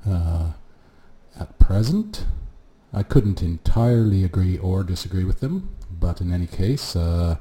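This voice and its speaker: A man hosting a live radio music show, talking in a relaxed, unhurried way with frequent "uh" pauses as he introduces his set. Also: sits low. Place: at home, street noise coming in.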